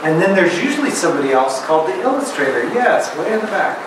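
A middle-aged man reading a picture book aloud to a class of children and asking them questions, in a slow, expressive storybook manner. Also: sits high, noisy background. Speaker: guest reader. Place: elementary school classroom. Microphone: far camera. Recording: echoey classroom from far off.

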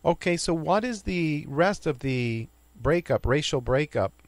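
A male radio host questions his guest courteously and at a measured pace. His voice is a little high for a man. The recording is dry and close. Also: lively intonation, dry recording. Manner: formal and courteous, deliberate. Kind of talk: radio interview.

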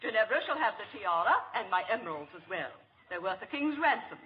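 A woman's voice: medium pitch, sing-song, a little fast, close to an everyday voice. An actress playing a spirited, imperious aristocratic lady in a 1940s radio drama. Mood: delighted, imperious. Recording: dull, noisy old-time radio recording.

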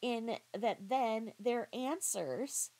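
A woman giving critical reaction commentary in a pointed, halting, questioning manner. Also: a little high, lively intonation, mellow. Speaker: commentary vlogger.

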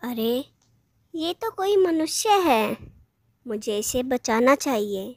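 Woman playing a young mermaid, very high voice, slow, kind, earnest, dry storybook audio. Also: big pitch swings, darkish tone, no room sound, full character voice.

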